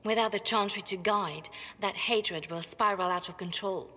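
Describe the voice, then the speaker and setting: Breathy, poised mage advisor. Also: female voice, medium pitch, moderate pace, pushed and bright. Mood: urgent, persuasive. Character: poised, ambitious female mage advisor, coolly persuasive. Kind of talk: video game cutscene acting. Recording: long hall reverb.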